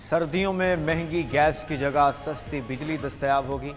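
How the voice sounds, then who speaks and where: A man's voice: fairly high pitch, moderate pace. A man with a Pakistani accent reading scripted news headlines as an anchor. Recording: long reverb with music underneath. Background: music bed.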